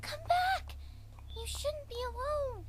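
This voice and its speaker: A woman voicing a timid, anxious, kindly female cartoon pony with a thin, girlish voice and gentle pleading delivery. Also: very high voice, expressive, takes it slowly, pitched above the performer's own voice, heavily acted. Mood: worried, calling out. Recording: clearly noisy home recording.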